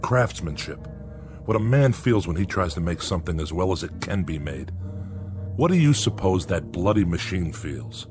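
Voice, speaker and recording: An actor in a radio drama playing a principled, embittered male plant manager, speaking gravely and earnestly. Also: deep voice, big pitch swings, normal speed, music in the background. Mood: indignant.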